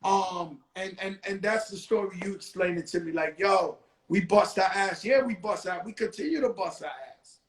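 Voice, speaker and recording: A man's voice: sits high, normal speed, hardly any pauses, echoey room. A man with a New York accent hosting a live stream, talking casually in street slang with repeated exclamations.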